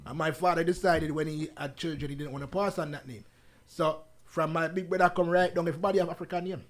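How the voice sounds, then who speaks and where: Jamaican-accented man, higher voice. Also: fast. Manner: relaxed, storytelling, patois-laced. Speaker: guest artist. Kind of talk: casual interview chat.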